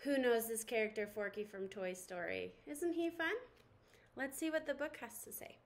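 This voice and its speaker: A young woman reading a picture book aloud to children in an expressive, animated storytelling manner. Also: on the high side, normal speed, slight background noise. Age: young adult.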